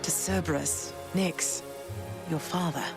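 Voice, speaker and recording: An actress playing a gentle, composed goddess, mother of a grown son, in a dramatic video game, with a poised, wry manner. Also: low voice, fairly even intonation, slow. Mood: quietly resolved. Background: game music.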